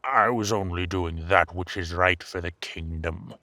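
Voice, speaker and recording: A man's voice: sits low, a little slow, bright, forceful tone, no echo, exaggerated voice. A man playing an old, indignant and fearful king in a fantasy audio drama, faltering as he clings to his authority. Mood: desperate protest.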